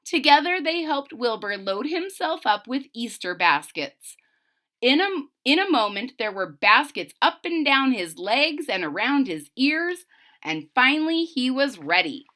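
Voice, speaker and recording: A woman reading a picture book aloud to children in a dramatic, animated way, stopping to explain things. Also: sits high, measured pace. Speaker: children's story reader. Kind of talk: expressive read-aloud with explanatory asides to children.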